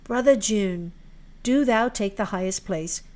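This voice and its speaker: A woman's voice: medium pitch, measured pace, darkish tone, lightly acted. A woman voicing a grave male spirit of winter in a storybook, speaking in stately, archaic phrases. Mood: commanding.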